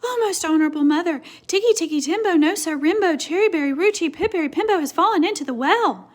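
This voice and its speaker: Female reader as small scared storybook boy, gasping and calling out urgently, high voice with big swoops. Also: lively intonation, fast talker. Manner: shouting, gasping chant of a long name. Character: frightened, breathless little boy Chang, pleading urgently.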